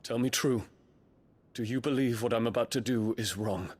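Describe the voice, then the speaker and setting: A man playing a proud, dutiful young prince in a video game, speaking formally and gravely. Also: medium pitch, a little mellow, lightly acted. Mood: resolute. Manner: formal, grave, measured diction. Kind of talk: dramatic video game cutscene acting.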